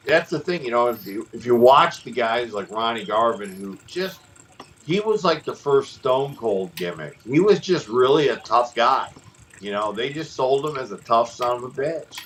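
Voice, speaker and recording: A middle-aged man with an even, mid-pitched voice rambles through stories on a casual podcast. He jumps from tangent to tangent and restarts his sentences often. His tone stays animated and chatty. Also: a little hiss or hum. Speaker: podcast host, former wrestler. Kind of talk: casual storytelling chat.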